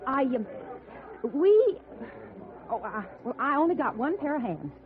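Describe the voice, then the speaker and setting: A woman's voice: high voice, sing-song, a little slow. A woman with an American accent playing a plucky, flustered frontier ranch woman in a 1950s radio Western. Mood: nervous, flustered. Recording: tinny old radio with crowd.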